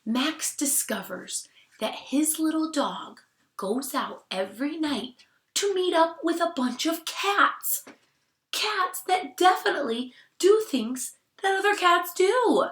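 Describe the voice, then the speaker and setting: Slow-paced woman reading aloud in an echoey room. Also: high-pitched. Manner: expressive, drops to hushed tones for asides. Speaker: teacher reading aloud to class. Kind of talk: animated picture-book read-aloud with asides to children. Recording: roomy, echoing sound.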